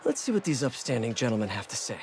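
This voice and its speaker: A man's voice: medium pitch, sing-song, quick pace, noisy background. A young man playing an earnest, wisecracking superhero in a video game, talking in a conversational, musing way. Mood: focused, quippy. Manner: quippy, musing aloud to himself.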